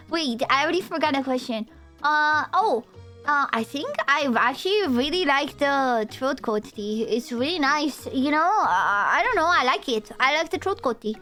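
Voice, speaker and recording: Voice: high-pitched, bright, forceful tone. A young adult VTuber streamer with a Romanian accent, chatting with viewers in a goofy, rambling, playful way. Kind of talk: casual live-stream chat.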